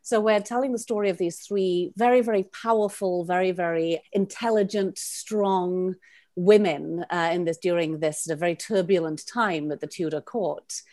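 Low-voiced Welsh woman, dry close sound. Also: steady tone, normal speed, a little mellow, no echo. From Wales. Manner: chatty, expansive, laughs often. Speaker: playwright and director, guest. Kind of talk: podcast interview.

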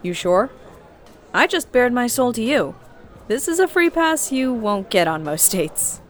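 A woman's voice: a little high, sing-song, measured pace, some chatter behind. An actress playing a talkative, candid, newly single woman on a first date in a naturalistic audio drama. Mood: playful.

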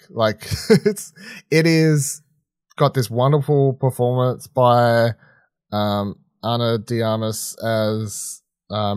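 A man's voice: medium pitch, clear, resonant tone, no echo, studio quality. A man co-hosting a podcast, giving casual film reviews in a rambling, informal, sweary way. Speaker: podcast co-host reviewing films.

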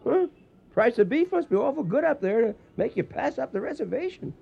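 Folksy cowhand, gravelly western drawl, swoops up and down, dullish old radio drama sound. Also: male voice, extremely high pitch, very animated, a little fast, muffled recording, exaggerated voice. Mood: eager. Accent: American Western drawl. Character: folksy, excitable cowboy spinning trail yarns. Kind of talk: radio western acting and narration.

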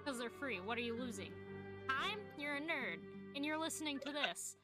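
A woman's voice: high voice, lively intonation, moderate pace, music in the background. A woman co-hosting a podcast, chatting casually and playfully about video games with a joking manner. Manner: playful, joking, laughs often. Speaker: podcast co-host.

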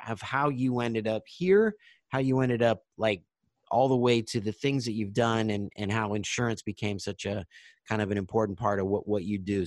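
A man's voice: mid-range voice, no room sound, clean studio sound. A middle-aged man from Southern California hosting a podcast interview, chatty and repeating words while he searches for phrasing. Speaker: podcast host.

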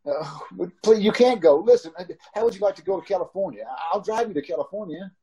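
A man's voice: sits high, quick pace, mellow, husky, lo-fi sound. A man playing a desperate, haunted driver, narrating a radio suspense drama in a dramatic, pleading manner. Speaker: actor in an old-time radio troupe. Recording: amateur video call recording.

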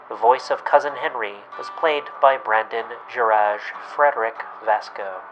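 A man announcing credits in a scripted read at the end of a radio play. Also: medium pitch. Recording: dull lo-fi sound, guitar music behind.

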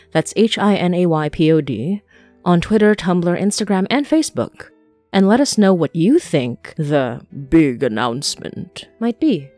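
A woman podcast host reads a scripted announcement. Her voice is a little low with lively movement. The sound is dry and close, with soft guitar music behind. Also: no echo. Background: plucked guitar music.

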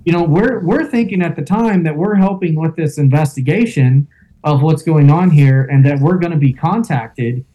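A man with a loud voice, a guest in an interview, telling a first-person account with fillers like "um" and "you know". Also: on the high side, normal speed, rough recording. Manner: storytelling with fillers and reenacted quotes.

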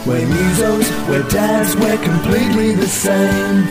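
A woman's voice: medium pitch, unhurried. A woman singing a show's theme jingle in a rhythmic, sing-song way. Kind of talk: sung jingle. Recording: loud music bed.

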